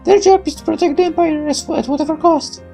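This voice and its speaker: A woman's voice: high-pitched, a little fast, creaky, warm tone, soft background music, voice pushed up. A woman voicing a young, cautious female factory worker, earnest and protective, in a visual novel game. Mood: urgent warning.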